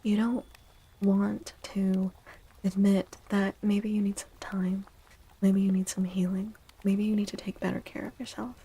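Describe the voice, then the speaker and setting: A woman speaking softly in a breathy, often whispered ASMR style, gently and soothingly, as she gives a tarot reading. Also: medium pitch, flat delivery, a little hiss or hum, low-quality recording. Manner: slow, gentle, soothing, deliberate with long pauses.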